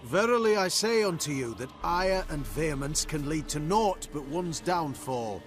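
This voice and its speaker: A man's voice: fairly high pitch, lively intonation, normal speed, faint music behind, close to an everyday voice. A man playing a pious military commander in a video game, with a pompous, preachy, haughty delivery. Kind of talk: video game cutscene acting.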